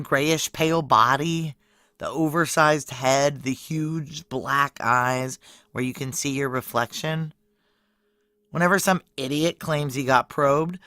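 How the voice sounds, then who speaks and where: An actress playing a tough, wary female security chief in a noir science fiction audio drama, speaking in a clipped, deadpan, commanding way. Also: deep voice, no echo.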